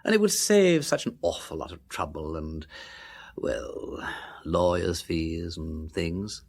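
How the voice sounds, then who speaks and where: An actor playing an aristocratic English gentleman detective in a radio drama, with a husky voice, an affected chatty drawl and an upper-class English accent. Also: low voice, expressive, takes it slowly, heavily acted. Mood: breezy, affable. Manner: affected silly-ass drawl with tag questions. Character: aristocratic gentleman sleuth, breezy, chatty, shrewd underneath.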